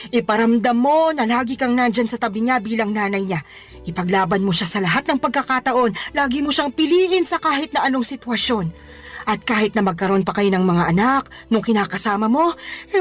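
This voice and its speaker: A woman's voice: on the high side, lightly acted. An actress playing a loving, teasing, plain-spoken older Filipino mother and grandmother in a radio drama, emphatic in her motherly advice. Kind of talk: Tagalog radio drama acting.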